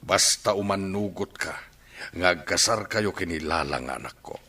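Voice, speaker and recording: A man's voice: deep voice, expressive, moderate pace, bright, forceful tone, slightly rough, heavily acted. A man playing an insistent, imploring father of an ailing daughter in an Ilocano radio drama.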